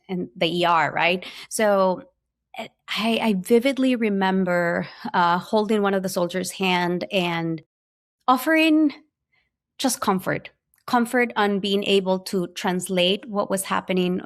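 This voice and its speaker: A woman with an Ecuadorian Spanish accent, a physician scientist telling her story in an interview, earnest and full of small restarts. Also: on the low side. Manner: earnest, many fillers and restarts. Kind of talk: personal storytelling in conversation.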